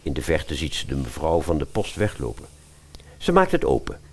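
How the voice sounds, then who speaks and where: A man narrating a comic fairy-tale audio drama as an expressive storyteller. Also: rumbling low, normal speed, husky.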